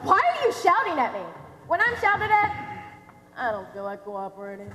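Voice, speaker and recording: Young woman acting a smug, high-voiced officious clerk. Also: wildly swooping pitch, heavily acted. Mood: indignant. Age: teenager or young adult. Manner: pedantic, drawn-out deliberate delivery. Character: smug, officious, obstructive female DMV clerk. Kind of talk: comic stage play acting. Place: theatre stage, recorded from a distance. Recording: echoey hall, noisy stage recording.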